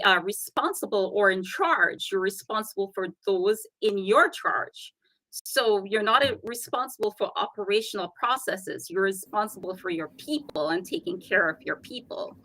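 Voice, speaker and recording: Bright-voiced woman with a Trinidadian accent. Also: medium pitch, no echo, rough recording. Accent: Trinidadian, softened. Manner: earnest, explanatory, with fillers. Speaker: guest. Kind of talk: casual interview chat.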